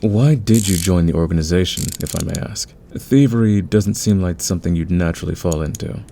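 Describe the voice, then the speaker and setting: A man playing a calm, friendly veteran thief in an ASMR audio roleplay, speaking in a patient, soothing, conversational way. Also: deep voice, a little fast, gravelly, a little hiss or hum. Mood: friendly, easygoing. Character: calm, friendly veteran male thief smoothing over team tensions.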